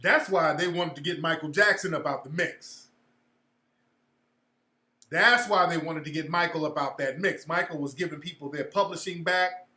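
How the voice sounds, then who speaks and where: A man's voice: fairly high pitch, normal speed, sharp tone, echoey room. A man telling stories in casual commentary, emphatic and full of profanity.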